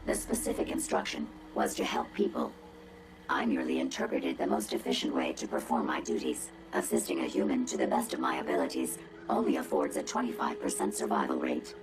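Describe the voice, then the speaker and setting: A woman's voice: rumbling low, creaky, rough recording. An actress playing a haughty, condescending female robot with a robotic filter, sardonic, precise and pompous in a video game. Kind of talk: video game cutscene acting.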